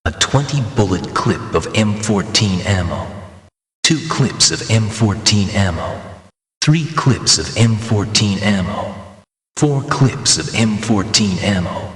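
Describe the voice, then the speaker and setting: A man reading scripted item names for a video game in a deliberate, matter-of-fact way. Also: on the low side, fairly bright tone, reverberant, in a large hall. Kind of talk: scripted item-list narration.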